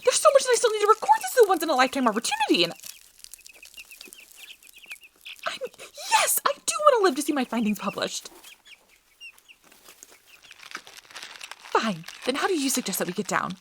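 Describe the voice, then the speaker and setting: A woman playing a nervous, apologetic, injured young field researcher in an ASMR audio roleplay, breathy and hesitant, stammering as she goes. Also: sits high, wildly swooping pitch, very fast, few pauses, background noise. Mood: alarmed, frantic.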